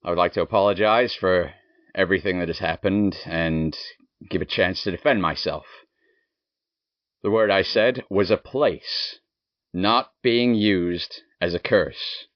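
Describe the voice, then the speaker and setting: A man's voice: low-pitched, unhurried, no echo. A man playing a zealous, patriotic wartime radio announcer with emphatic, theatrical old-time delivery. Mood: contrite, defensive. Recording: dull, muffled radio-drama audio, faint music.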